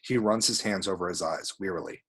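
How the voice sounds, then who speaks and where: A man's voice: low-pitched, fairly quick, a bit bright. A man reading scripted screenplay action descriptions as the narrator of a table read. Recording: home recording.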